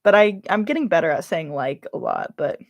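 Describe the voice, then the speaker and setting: A woman's voice: deep voice, big pitch swings, a little fast, gravelly, no room sound, studio quality. A young adult woman with a Californian, slightly Valley Girl accent, chatting casually on a livestream in a rambling, self-interrupting way peppered with filler words. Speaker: livestream host and YouTuber.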